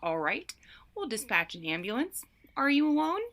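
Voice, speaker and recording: A woman playing a calm, procedural emergency dispatcher on a call in an audio drama, giving instructions in a businesslike way. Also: fairly high pitch, normal speed.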